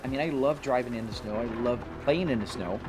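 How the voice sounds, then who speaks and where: A man's voice: mid-range voice, quick pace, noisy background. A Brazilian man whose first language is Portuguese, chatting casually with viewers on a live stream while driving a truck sim, greeting people by name. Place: home sim rig.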